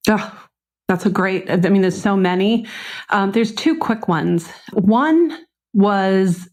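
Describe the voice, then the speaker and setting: Female podcast guest, middle-aged, Boston accent, medium pitch, conversational storyteller. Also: darkish tone. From Boston, United States.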